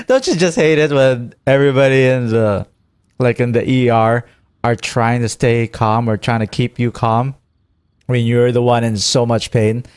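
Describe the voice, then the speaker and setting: A man's voice: mid-range voice, unhurried, clear, resonant tone, dry recording. Casual male gamer, close dry sound. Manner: reactive, informal, jokey. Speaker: gaming streamer. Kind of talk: casual let's-play commentary. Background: game music.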